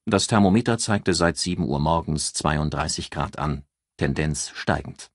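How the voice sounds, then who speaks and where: A man giving scripted audiobook narration of a novel in a measured, careful storytelling manner. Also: extremely low pitch, slightly rough, dry recording, clean studio sound.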